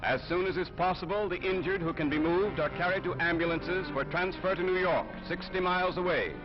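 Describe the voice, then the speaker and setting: A male announcer delivers scripted 1930s newsreel narration. His voice sits slightly high and his manner is formal and declamatory. Music is clearly audible beneath him on a muffled, aged soundtrack. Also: a little fast.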